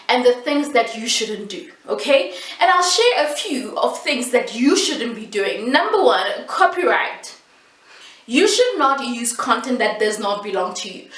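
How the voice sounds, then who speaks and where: A South African woman, a native Setswana speaker, giving casual vlog tips in an animated, emphatic, playful way with repetition for stress. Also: high voice. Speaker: YouTube host giving tips. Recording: echoey room, distant mic.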